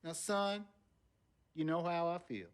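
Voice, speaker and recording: A man playing a middle-aged, plain-spoken father in a game cutscene, folksy, deliberate and authoritative. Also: on the high side, expressive, smooth. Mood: firm, measured. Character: steady, principled, plain-spoken family father. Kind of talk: naturalistic dramatic acting in a game cutscene.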